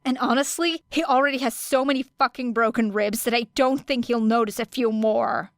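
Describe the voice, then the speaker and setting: A woman's voice: fairly high pitch, fairly even intonation, normal speed, lightly acted. A woman voicing a wounded, stubborn girl fighter in an audiobook, talking in an urgent, curt, snappish way. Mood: snapping, exasperated.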